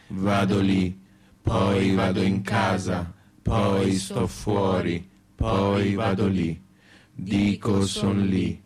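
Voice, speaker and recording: An actor playing an aimless man in a radio play, reciting his circling memories in a repetitive monotone. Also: low-pitched. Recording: loud crowd noise behind.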